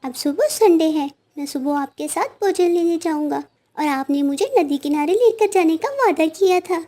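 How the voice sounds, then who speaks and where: A woman's voice: very high-pitched, moderate pace, warm tone, voice pushed up. A woman voicing an eager, sweet little boy bird, a diligent schoolchild in a children's cartoon. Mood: eager, cheerful. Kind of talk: children's cartoon story acting.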